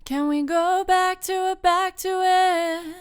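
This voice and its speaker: A woman sings in a very high register, with slow, drawn-out phrasing. She is a singer-songwriter recorded on a studio microphone. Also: fairly even intonation. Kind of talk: singing with acoustic guitar. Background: acoustic guitar.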